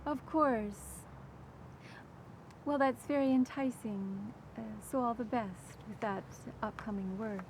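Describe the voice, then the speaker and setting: An older woman hosting a literary interview, putting prepared questions and audience questions in a measured, articulate way. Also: on the high side, low-quality recording. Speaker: interviewer, event host. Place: outdoors in a rose garden. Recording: clear outdoor background noise.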